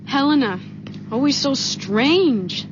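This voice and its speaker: An anxious, kind young wife in an old radio play. She speaks high and emotively, with sweeping intonation, earnest and pleading. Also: lively intonation, takes it slowly. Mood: troubled, sympathetic. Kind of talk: 1970s radio drama acting. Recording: dull, noisy old radio broadcast recording.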